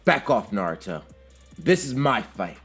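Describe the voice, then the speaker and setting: A man voicing a proud, stubborn young ninja rival with a curt, forceful, angry delivery in an anime-style story. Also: mid-range voice, big pitch swings, a little slow, breathy edge, faint music behind, pitched above the performer's own voice, heavily acted. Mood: angry defiance.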